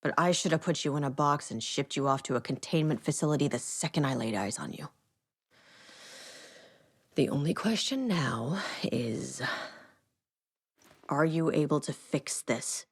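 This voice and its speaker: A woman's voice: low-pitched, lively intonation, a little slow, pauses often, breathy edge, heavily acted. A woman playing a tough, sardonic government agent in a video game, talking in a blunt, dry, no-nonsense way. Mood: stern, sardonic. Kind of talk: dramatic game dialogue.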